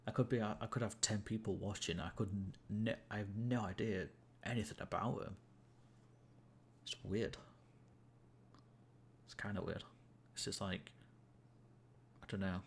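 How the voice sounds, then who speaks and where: A man's voice: on the low side, steady tone, unhurried, gravelly, long pauses, a little hiss or hum. A young man chatting casually to stream viewers while gaming, rambling and amused at himself, with many fillers and restarts. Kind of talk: casual gameplay chat to viewers.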